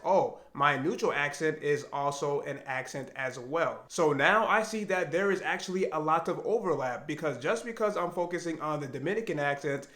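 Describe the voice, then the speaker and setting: A man's voice: a little high, a bit bright. Earnest American man in an echoey room. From United States. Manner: earnest, thinking aloud. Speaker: language learning vlogger. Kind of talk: reflective personal vlog.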